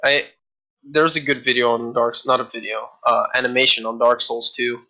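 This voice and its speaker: A man's voice: medium pitch, level tone, normal speed, pushed and bright, low-quality recording. A man co-hosting a casual podcast about games, rambling with frequent restarts and casual swearing. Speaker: podcast co-host. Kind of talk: casual chat.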